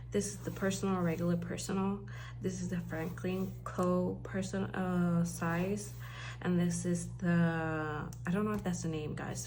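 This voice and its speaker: A Puerto Rican woman with a slightly low, gravelly voice talks her way through a planner unboxing. She rambles and corrects herself as she goes. A steady hum and background noise sit under the home recording. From Puerto Rico. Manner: chatty, rambling, self-correcting. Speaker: planner vlogger, stay-at-home mom. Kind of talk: casual unboxing vlog.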